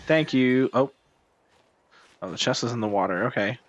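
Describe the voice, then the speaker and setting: A man's voice: mid-range voice, lively intonation, normal speed, lo-fi sound. A man giving casual gameplay commentary as a streamer, muttering to himself as he plays. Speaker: video game streamer. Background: game music.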